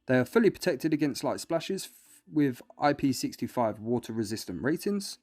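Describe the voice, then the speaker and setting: A man's voice: medium pitch, big pitch swings, normal speed. A man reading a scripted product review in an instructional, step-by-step way. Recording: music bed behind voice. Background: music.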